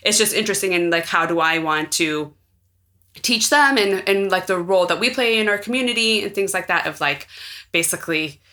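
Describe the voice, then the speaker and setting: American woman on a podcast, bright voice, rambles w lots of likes and you knows. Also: on the low side, fairly quick. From United States. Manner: rambling, heavy use of fillers like "like" and "you know". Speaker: podcast guest. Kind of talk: casual podcast chat.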